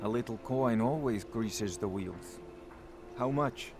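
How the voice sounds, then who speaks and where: An actor playing a gruff but obliging village blacksmith in a fantasy game. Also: male voice, mid-range voice, slow pace, music in the background. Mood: matter-of-fact. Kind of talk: scripted fantasy game dialogue.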